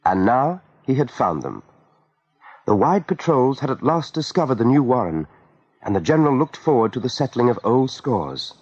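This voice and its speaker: A man's voice: mid-range voice, sing-song, normal speed, darkish tone, husky. A man narrating a scripted radio drama as storyteller. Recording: dull vintage radio recording.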